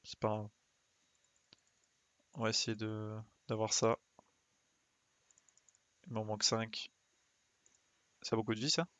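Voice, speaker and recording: A man's voice: on the low side, monotone, slow, pauses often, a bit bright, no echo. A man giving casual live commentary as he plays a game, muttering short interjections as he thinks aloud. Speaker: game streamer.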